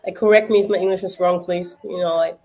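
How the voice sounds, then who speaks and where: A woman's voice: fairly low pitch, fairly even intonation, quick pace, darkish tone. Chatty German-accented woman streaming. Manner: chatty, many fillers, answers chat. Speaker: livestream watch-party host. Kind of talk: casual livestream chat.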